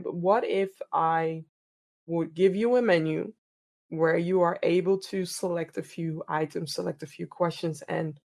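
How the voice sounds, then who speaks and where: A woman's voice: fairly low pitch, vocal fry, a little mellow. A middle-aged woman from the Netherlands, answering interview questions in an earnest, reflective way and often repeating words as she thinks. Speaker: podcast host and DEI coach.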